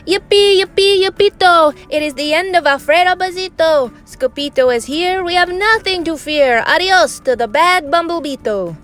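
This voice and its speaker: A woman voicing a band of excitable little chihuahuas in a storybook, in singsong, exclamatory rhymes. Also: very high voice, moderate pace, few pauses, voice pushed up. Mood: jubilant.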